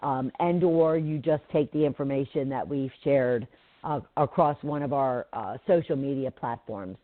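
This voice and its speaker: A woman's voice: a bass voice, normal speed, no echo. A middle-aged woman hosting a live talk radio show, chatting casually with a slightly nasal voice and lots of fillers and restarts. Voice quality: nasally from pollen. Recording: tinny phone-like line.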